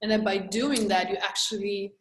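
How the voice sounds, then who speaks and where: A young woman from Romania speaks as an interview guest, calm and reflective, her words flowing on and doubling back as she searches for them. Her voice sits at an ordinary middle pitch. It carries a noticeable room echo. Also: normal speed, a little hiss or hum. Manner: reflective, flowing, repeats words while thinking. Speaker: guest, energy healer and mindset coach. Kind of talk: casual interview conversation.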